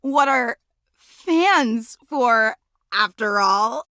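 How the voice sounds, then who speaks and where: A woman's voice: fairly high pitch, animated, very slow, sharp tone, no room sound, exaggerated voice. A woman voicing an upbeat female character in a dating video game.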